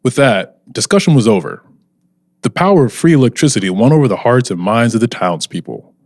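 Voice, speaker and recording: A man's voice: mid-range voice, animated, fairly quick, a little mellow, no echo, studio quality. A man playing a showy sixties radio narrator and announcer. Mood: dramatic. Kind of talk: old-time radio narration.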